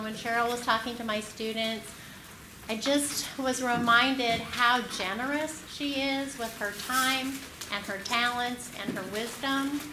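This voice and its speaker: A woman introducing a keynote speaker at a conference, admiring and with frequent filler words. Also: fairly high pitch. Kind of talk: formal introduction. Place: conference room. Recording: roomy hall with clear noise.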